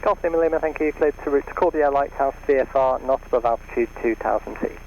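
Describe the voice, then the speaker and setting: Atc guy on the radio, talks really fast, mid pitch. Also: dull audio, a little hiss or hum. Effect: aviation radio transmission. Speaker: air traffic controller. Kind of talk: rapid radio procedure talk.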